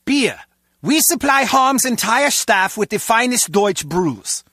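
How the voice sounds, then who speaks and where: A man's voice: extremely high pitch, sing-song, slow pace, bright, forceful tone, dry recording, clean studio sound, exaggerated voice. An actor playing an earnest, pedantic German henchman in a video game, with a German accent. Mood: earnest lecturing. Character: philosophical German henchman guard, earnest and excitable. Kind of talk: video game character dialogue.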